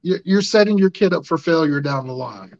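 Raspy gravelly guy in his 30s spinning stories on a podcast, kinda high voice, dry muffled sound. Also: a little fast, dry recording. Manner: animated, acts out quotes in funny voices. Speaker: podcast host. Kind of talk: casual storytelling chat.

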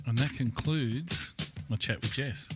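A man's voice: mid-range voice, a little slow, over music. A man hosting a casual podcast chat, talking in a rambling, hesitant way that often trails off mid-thought. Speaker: podcast host. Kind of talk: casual conversational podcast interview.

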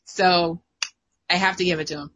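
A woman's voice: fairly low pitch, steady tone, normal speed, fairly bright tone, a little hiss or hum, poor audio. A young adult woman co-hosting, chatting casually with a playful, teasing manner and frequent laughter. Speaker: podcast co-host. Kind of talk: casual chat.

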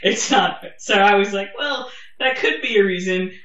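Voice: mid-range voice, normal speed, a bit bright. A co-host in a casual chat reviewing a TV episode, opinionated and wry, swearing freely. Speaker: podcast co-host. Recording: roomy, distant, dull sound.

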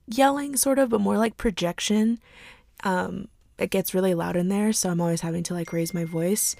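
A hoarse young woman hosting a podcast, talking softly and hesitantly with many pauses and fillers. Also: mid-range voice, no room sound, studio quality. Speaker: podcast host. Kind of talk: casual reflective monologue.

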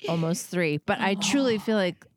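A woman's voice: mid-range voice, normal speed, dry recording. A woman from New York City in her forties with a nasal voice, a podcast guest chatting in a rambling, self-deprecating, joking way. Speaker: podcast guest, comedian and writer. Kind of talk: casual chat.

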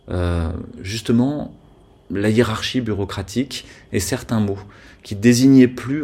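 Husky, earnest French-speaking man. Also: fairly low pitch, darkish tone. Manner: earnest, argumentative, many hesitations. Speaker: guest. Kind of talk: conversational interview.

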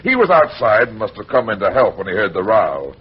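An actor playing an unruffled, tough American private detective in an old-time radio crime drama. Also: male voice, lowish voice, very animated, fairly quick, husky, exaggerated voice. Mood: cool, matter-of-fact. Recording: muffled vintage radio recording, music underneath.

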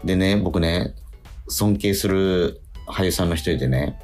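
A man's voice: low-pitched, a little slow, darkish tone, faint music behind. A man hosting a radio show, chatting casually about acting in an earnest, self-questioning way with frequent affirming interjections. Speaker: radio show host and stage actor. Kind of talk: casual radio talk between actors.

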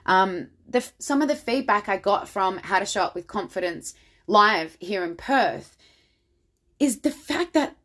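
A woman hosts her own podcast, her voice slightly raspy and worn from days of networking. She talks in a keen, motivational way, blunt and direct, at a middle pitch. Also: lively intonation, a little slow, a bit bright. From Australia. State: slightly raspy voice from much talking. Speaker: podcast host. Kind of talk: solo podcast monologue.

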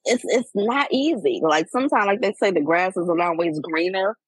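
A woman in her forties, talking candidly in a casual chat, reflective and animated as she tells personal stories. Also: medium pitch, moderate pace, slightly rough, dry recording, rough recording. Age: 40s. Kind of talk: casual heartfelt conversation.

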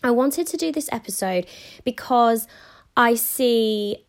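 A woman hosting her own podcast, talking in a chatty, upbeat way with a hoarse, croaky voice from a sore throat. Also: on the high side, lively intonation, takes it slowly. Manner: chatty and upbeat, with frequent fillers. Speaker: podcast host and mindset coach. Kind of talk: casual solo podcast monologue.

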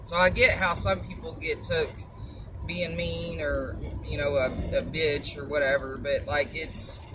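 A woman with a loud, strong voice chatting in a blunt, outspoken way, halting often with fillers and long pauses as she promotes supplements. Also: low voice, little pitch movement, noisy background. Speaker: vlogger selling supplements. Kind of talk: casual chatty monologue.

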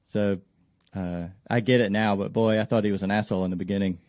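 A man's voice: on the low side, fairly even intonation, fast, a little mellow, no echo, clean studio sound. A man talking casually and candidly as a firefighter guest on a podcast, reflecting in an informal way.

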